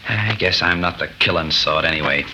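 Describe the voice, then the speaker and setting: A man's voice: extremely low pitch, fairly quick, pushed and bright, exaggerated voice. An actor playing a brave, protective, lovelorn frontier man with folksy Western phrasing in an old radio melodrama. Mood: bitter longing. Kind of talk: 1930s radio melodrama acting. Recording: noisy 1930s radio recording.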